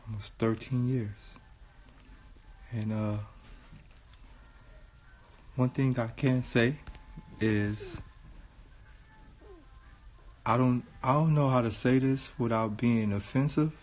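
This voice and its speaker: Hoarse, slow-talking man from Watts. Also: medium pitch. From Watts, California. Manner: slow and deliberate, repeats lines for emphasis, grows louder. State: hoarse, losing his voice. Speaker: host. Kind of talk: casual monologue to viewers. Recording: faint background noise.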